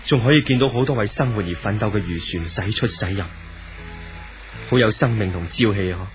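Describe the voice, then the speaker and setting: A young, idealistic romantic hero played by an actor in an old Hong Kong Cantonese radio drama. He speaks in a fairly low voice, solemn and declamatory, with clear music underneath. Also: fairly quick, natural voice. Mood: tender, hopeful. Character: earnest young romantic man, idealistic and brooding.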